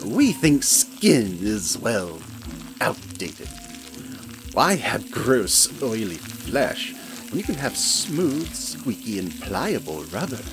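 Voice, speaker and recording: A man voicing a smug male hyena mad scientist who talks in a silky, condescending, theatrical way, with frequent chuckles. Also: on the low side. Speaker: villainous scientist narrating to the listener. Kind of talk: hobby audio play. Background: background music.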